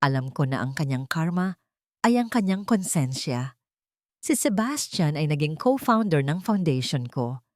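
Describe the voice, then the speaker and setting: A woman's voice: sits low, very animated, measured pace, a little mellow, no room sound, clean studio sound. A woman with a Filipino accent narrating a scripted first-person audio drama.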